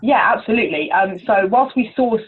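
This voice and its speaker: A woman's voice: on the high side, animated, fairly quick. A woman giving informal, encouraging interview answers as a conference chair over the telephone. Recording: narrow, tinny telephone line.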